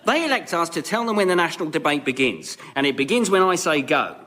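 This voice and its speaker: A man's voice: on the high side, big pitch swings, quick pace, sharp tone, exaggerated voice. A man playing a bossy, evasive shadow minister, emphatic and self-important, in a satirical radio sketch.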